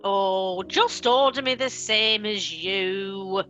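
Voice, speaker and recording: A woman's voice: medium pitch, slow, a bit bright, muffled recording, soft background music. A woman playing a muddled, hard-of-hearing elderly mother in a comic radio play, querulous and demanding. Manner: querulous, mishearing.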